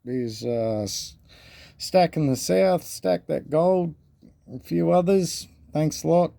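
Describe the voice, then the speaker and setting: Aussie bloke, deep voice, kinda dark and mellow, easygoing chat full of mate and swearing. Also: male voice, slow pace, creaky, no echo. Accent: Australian. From Australia. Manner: laid-back, swears casually, calls viewers mate. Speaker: coin collecting vlogger. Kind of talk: casual show-and-tell chat. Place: indoors at a table.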